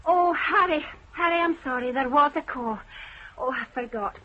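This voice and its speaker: A flustered, high-voiced wife. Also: female voice, moderate pace, bright, forceful tone. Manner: breathless, apologetic, high and eager. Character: anxious, flustered wife at home. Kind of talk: 1960s radio drama acting. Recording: dull old radio broadcast.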